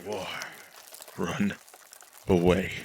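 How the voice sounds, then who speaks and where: A man's voice: low voice, flat delivery, drawn-out words, a little mellow, slight background noise, full character voice. A man playing a tormented, obsessive chemist who broods and repeats his words in a 1940s-style radio horror drama. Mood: frantic obsession.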